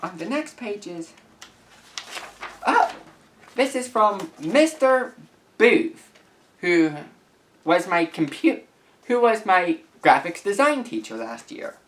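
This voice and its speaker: A young man of about eighteen reading messages aloud and commenting to camera as a YouTuber, with an earnest, deliberate, halting delivery. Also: sits high, lively intonation, fairly bright tone, distant mic, slight background noise. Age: young adult, about 18.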